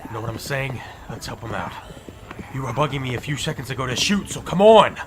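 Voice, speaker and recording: A man's voice: mid-range voice, animated, a little fast, crowd noise, close to an everyday voice. A man playing a curt, sardonic fighter in a zombie apocalypse fan audio drama, terse and dry. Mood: sardonic, gruff.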